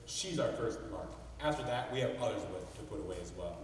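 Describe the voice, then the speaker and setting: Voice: mid-range voice, expressive, fast talker, natural voice. A mocking ghost, played on stage in an echoey hall. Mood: scheming. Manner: mocking, sly. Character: vengeful ghost haunting the house. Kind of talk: amateur stage acting. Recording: echoey hall from far off, noisy.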